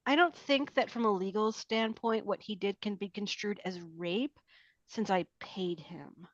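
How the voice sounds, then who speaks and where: A woman's voice: mid-range voice, unhurried, no room sound, lightly acted. Sullen teenage girl, played by a woman, dull recording. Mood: brazen. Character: sullen, defiant, provocative teenage outcast girl. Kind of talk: table read of a film script.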